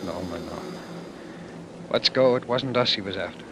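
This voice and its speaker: A man's voice: deep voice, normal speed, heavy background noise, lightly acted. An actor playing a haunted, intense man narrating his flight from war, brooding and theatrical, in an old radio drama. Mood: tense. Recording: vintage radio recording with music behind.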